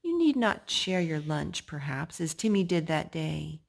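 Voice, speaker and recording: A woman reads a rhyming picture-book story aloud at a slow pace. Her voice is husky with a creaky edge, and she phrases each line deliberately for young listeners. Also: low-pitched, big pitch swings. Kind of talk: read-aloud children's story in rhyme.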